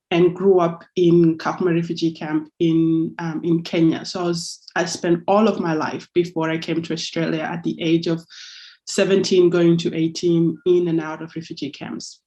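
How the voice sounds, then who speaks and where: A woman in her thirties, a lawyer and advocate, giving a thoughtful public talk at a measured pace with a few fillers. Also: on the low side, mellow, muffled recording.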